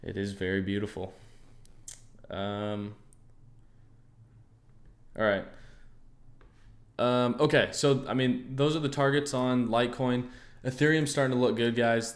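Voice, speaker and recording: A young man with an American accent and a lowish voice hosts a relaxed livestream. He chats with viewers in an easygoing way, thinks out loud, and leans on fillers and restarts as he goes. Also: unhurried, long pauses. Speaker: trading livestream host. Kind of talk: casual livestream chat answering viewers.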